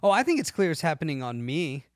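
A man's voice: fairly high pitch, very animated, fast, dry recording. A man hosting a podcast, chatting casually about music and often searching for his words. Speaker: podcast host. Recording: dry, music playing behind.

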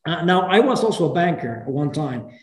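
A man's voice: a little high, big pitch swings, a little fast. A man from Venezuela, speaking earnestly as an interview guest and often restarting his phrases. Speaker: guest, program founder. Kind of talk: casual interview talk. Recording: roomy echo.

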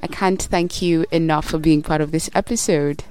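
A woman's voice: sits low, fairly even intonation, normal speed, a little mellow, smooth. A woman hosting a podcast, interviewing her guest in a conversational way. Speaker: podcast host.

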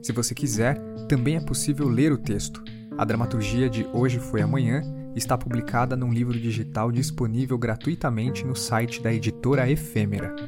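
A man's voice: medium pitch, fairly even intonation, normal speed, over loud music. Brazilian man hosting a podcast. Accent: Brazilian Portuguese. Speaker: podcast host and interviewer. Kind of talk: podcast introduction and interview.

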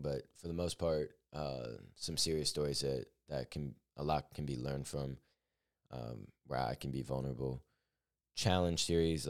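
A man's voice: a bass voice, clean tone. An eighteen-year-old man hosting his own podcast, talking through his plans in an earnest, upbeat, rambling way with many fillers. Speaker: podcast host. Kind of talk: solo podcast monologue.

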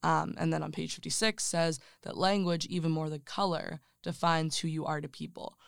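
An interviewer leading a casual book discussion, thoughtful and hesitant with many pauses. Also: mid-range voice, fairly even intonation, gravelly, smooth, no room sound, studio quality. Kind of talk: casual conversation.

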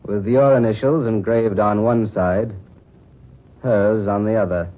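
A man's voice: on the low side, steady tone, a little slow, lightly acted. A man acting in a 1940s radio drama as a wronged, earnest husband, formal and stiffly dignified. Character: wronged, earnest gentleman husband, indignant and defiant. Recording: muffled 1940s radio recording.

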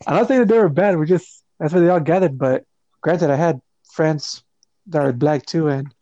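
A man's voice: on the high side, moderate pace, warm tone, muffled recording. A man from the United States in a casual group discussion, talking hesitantly and rambling.

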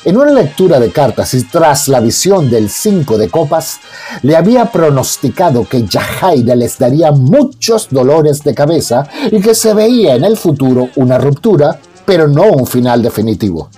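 A male narrator with a fairly high, animated voice and a mellow tone. He tells a radio soap opera in a melodramatic, scripted style. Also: moderate pace, slightly rough, faint music behind.

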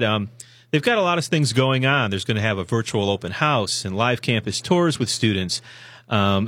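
Hoarse man, college radio co-host, rambling folksy delivery, dry studio mic, mid-pitched voice. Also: fairly bright tone, no room sound. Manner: rambling, self-correcting, folksy advice. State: hoarse from a sinus infection. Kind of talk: casual radio promo talk.

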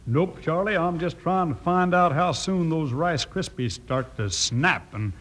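A man's voice: fairly high pitch, measured pace, fairly bright tone. A man playing a homespun cowboy in an old radio commercial, with an American Western drawl. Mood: folksy, amused. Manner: homespun, folksy. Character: folksy cowboy pal Slim in a cereal ad. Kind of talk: 1950s radio commercial acting.

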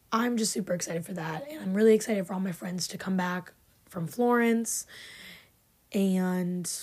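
A young woman in her twenties hosting a podcast, chatty and a bit sarcastic, her voice raspy from having just woken up. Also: medium pitch, a little mellow. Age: 20s. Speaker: podcast host. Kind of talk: casual chat. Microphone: filmed podcast setup.

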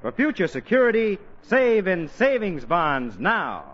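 A man's voice: high-pitched, big pitch swings, measured pace, loud music behind the voice. A man announcing in polished, folksy 1940s radio style, reading scripted copy on an old broadcast recording. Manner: folksy, polished sales patter. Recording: dull band-limited 1940s radio recording.

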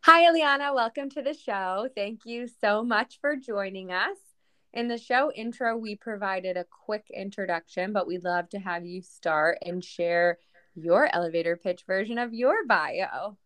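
A woman's voice: medium pitch, a little slow, fairly bright tone, clear, resonant tone, dry recording. A woman co-hosting a show in a scripted presenting style. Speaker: podcast co-host.

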